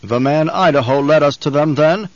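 Man playing a cruel fat baron, slow and rumbling, threatening, dull dry recording. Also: dry recording, lightly acted. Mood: menacing. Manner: slow, rumbling, roaring commands. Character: menacing obese older male baron, cruel and scheming.

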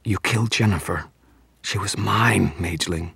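A man's voice: deep voice, moderate pace, vocal fry, fairly bright tone, slightly rough, dry recording, pitched above the performer's own voice, full character voice. A man voicing a monstrous yet sultry female vampire who snarls and hisses in a half-whisper. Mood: enraged.

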